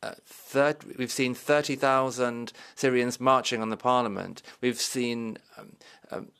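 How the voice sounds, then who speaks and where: A male interpreter rendering a forceful parliamentary speech into English, with frequent restarts and fillers. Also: mid-range voice, steady tone, measured pace, clean tone.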